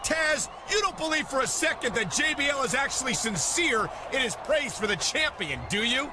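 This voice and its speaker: A suspicious play-by-play commentator. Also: male voice, extremely high pitch, expressive, moderate pace, noisy background. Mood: skeptical. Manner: suspicious, earnest. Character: skeptical male play-by-play wrestling commentator. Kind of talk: ringside commentary. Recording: arena crowd and music behind commentary.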